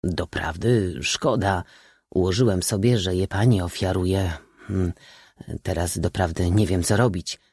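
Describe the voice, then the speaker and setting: Awkward, blurting young aristocrat in love, audiobook, male reader acting, husky low voice, hesitant and keen. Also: unhurried, a little mellow, no echo, clean studio sound, heavily acted. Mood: flustered. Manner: blurting, hesitant, self-correcting. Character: bumbling young aristocratic suitor, horse-mad, eager, awkward.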